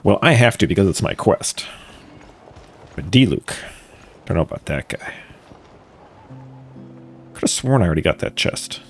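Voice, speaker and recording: A man's voice: sits low, expressive, a little slow, pauses often. A man giving casual live-streamed game commentary with offhand, dry asides. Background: faint game music.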